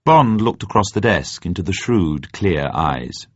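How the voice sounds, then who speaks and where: A man reading a dramatised narration in a formal, measured and deliberate way. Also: low voice, animated, slightly rough, no room sound, dull audio. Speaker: audiobook narrator.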